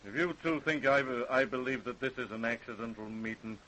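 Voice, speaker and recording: A man's voice: mid-range voice, a little fast, sharp tone, lightly acted, rough recording. A man playing a jealous, hot-tempered small-town husband in an old radio crime drama, talking in folksy, clipped, accusing phrases. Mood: furious, defiant. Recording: faint hiss, old radio recording.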